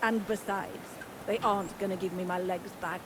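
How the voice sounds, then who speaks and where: An actress playing a gruff, bitter older innkeeper and former resistance fighter in a video game, blunt and world-weary. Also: female voice, medium pitch, fairly even intonation, normal speed, natural voice. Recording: clear background ambient noise.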